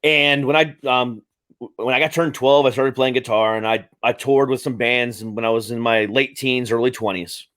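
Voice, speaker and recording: A man's voice: medium pitch, fairly even intonation, normal speed, a bit bright, smooth. A man in his late thirties hosting a podcast, talking casually in a rambling way with many fillers and restarts. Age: 30s. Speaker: podcast host. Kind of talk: casual interview chat.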